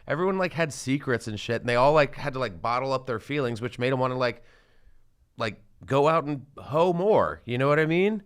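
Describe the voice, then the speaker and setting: Male podcast guest, very clear smooth mid-range voice, sarcastic, chatty, informal. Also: medium pitch, lively intonation, brisk. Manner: chatty, sarcastic, heavy use of "like". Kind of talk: casual comedic chat.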